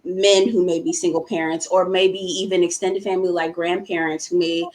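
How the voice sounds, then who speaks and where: A woman's voice: lowish voice, level tone, moderate pace, a little mellow. A woman hosting an interview who is encouraging, gives frequent affirmations and speaks playfully. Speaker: show host.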